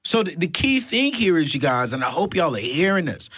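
A man's voice: on the high side, brisk, breathy edge, no room sound. A man from South Carolina, hosting a casual podcast conversation and cheering his guest on. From Darlington, South Carolina. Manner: animated, encouraging interjections. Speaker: podcast host.

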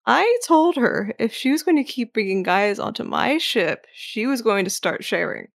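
Creaky-voiced sardonic young operative played by a woman. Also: fairly high pitch, moderate pace, no room sound, clean studio sound. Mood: teasing, sardonic. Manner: deadpan, sardonic. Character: cool, sardonic young female operative, teasing sister. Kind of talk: sci-fi audio drama dialogue.

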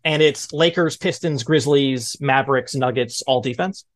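A man in his thirties hosting a sports podcast, chatting casually in an animated way. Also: mid-range voice, normal speed, dry recording, studio quality. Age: 30s. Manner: animated, mimics exclaimed reactions. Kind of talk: casual sports debate chat.

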